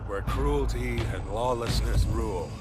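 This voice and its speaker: A man's voice: medium pitch, a little slow, natural voice. A man playing a proud, grim armored knight commander in solemn, menacing, dramatic game narration. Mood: stern, proud. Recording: loud music behind the voice.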